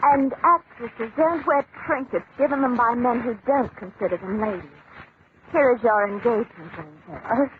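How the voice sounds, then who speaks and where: A woman's voice: fairly high pitch, moderate pace, natural voice. A woman playing a proud, plucky young actress in a 1940s radio drama, speaking frankly with poised dignity. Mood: cool, dignified. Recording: narrow-band, hissy old radio recording.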